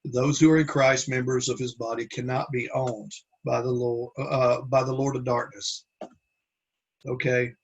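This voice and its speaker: A man teaching a Bible class from slides, talking in a halting way with many restarts and fillers. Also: medium pitch, level tone, breathy edge, highs cut off.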